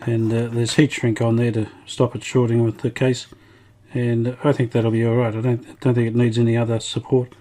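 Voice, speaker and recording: A man casually talking through a hands-on repair demonstration, unhurried and matter-of-fact, thinking aloud as he goes. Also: mid-range voice, flat delivery, dark tone. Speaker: hobbyist radio restorer presenting his video.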